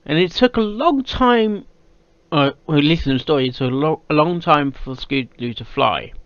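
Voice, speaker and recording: British male reaction host, highish lively voice, halting and repetitive delivery, dull lo-fi mic. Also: no echo. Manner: halting, repeats words and phrases. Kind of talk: casual off-the-cuff reaction commentary. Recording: dull lo-fi microphone sound.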